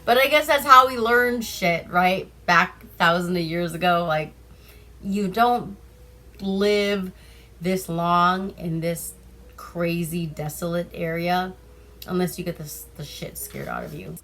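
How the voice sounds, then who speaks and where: A woman's voice: fairly low pitch, slow, pushed and bright. A woman from Alaska telling folk tales in a read-aloud style, with an irreverent, chatty manner. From Seward Peninsula, Alaska. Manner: irreverent, chatty, breaks off to comment. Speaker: storyteller reading bedtime stories. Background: music.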